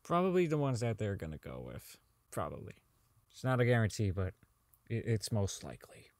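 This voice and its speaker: A man's voice: fairly low pitch, huge pitch swings, a little fast, no echo. A man playing a socially inept, unravelling robot designer in an audio drama log, rambling, stammering, swearing and repeating himself. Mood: rambling, unsure.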